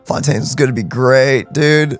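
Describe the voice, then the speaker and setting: A man hosting a let's play, reacting in casual, excitable bursts. He rambles with fillers, and faint game music sits behind him. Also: medium pitch, unhurried. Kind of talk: casual gameplay commentary.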